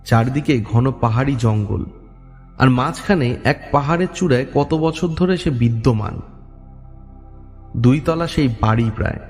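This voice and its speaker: A man narrating a horror story in a dramatic, atmospheric way. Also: fairly high pitch. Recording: long reverb, music underneath. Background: music.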